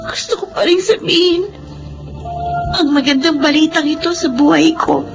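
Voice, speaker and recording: A woman playing a gentle, grieving but hopeful young orphaned Filipino woman in a drama, earnest and polite. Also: high-pitched, normal speed, vocal fry. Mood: solemn, emotional. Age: young adult. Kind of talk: Filipino radio-style drama acting. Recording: music behind the voice.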